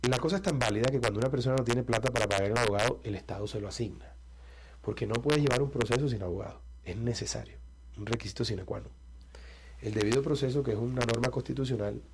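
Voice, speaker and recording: A man's voice: medium pitch, quick pace, a little mellow, a little hiss or hum. A man with a Colombian Caribbean coast accent, a presidential candidate answering interview questions in a confident, combative and emphatic way.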